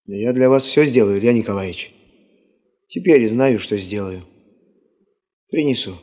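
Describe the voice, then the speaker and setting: Casting: a loyal, plain-spoken prospector, medium pitch, radio play with echoing hall sound. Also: male voice, lively intonation, a little slow, warm tone. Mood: eager, devoted. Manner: deferential, plain-spoken, eager. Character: loyal, eager gold prospector, devoted subordinate man. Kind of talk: Soviet radio drama acting.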